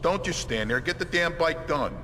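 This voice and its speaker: Actor as a blunt bike-shop boss, noisy background. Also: male voice, lively intonation, moderate pace, in a large hall, lightly acted. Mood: angry, bossy. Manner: blunt, bossy, impatient. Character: gruff, hot-tempered father and bike-shop boss. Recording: noisy, engine rumble behind.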